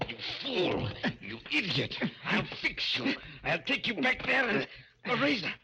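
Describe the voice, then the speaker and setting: A man's voice: high-pitched, huge pitch swings, a little slow, heavily acted. An actor playing an enraged, unhinged man threatening violence in an old radio drama. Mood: furious. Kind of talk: 1940s radio acting. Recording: old noisy radio recording.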